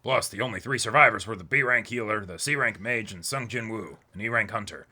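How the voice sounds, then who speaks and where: A man's voice: fairly low pitch, fairly quick, pushed and bright. A man co-hosting a comic read-along stream, chatting informally, joking and reading dialogue aloud.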